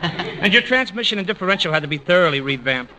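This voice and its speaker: A breezy American garage man in a vintage radio sitcom, busy and matter-of-fact. His fairly high voice moves with lively intonation over a noisy old recording. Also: quick pace. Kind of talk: 1940s-50s radio comedy acting.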